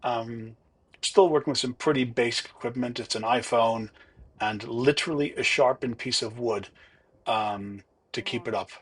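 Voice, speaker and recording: A man narrating his own golf round in a relaxed, chatty, self-deprecating way. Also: mid-range voice, normal speed, breathy edge. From not from Ireland. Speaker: golf vlogger. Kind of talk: casual voice-over commentary.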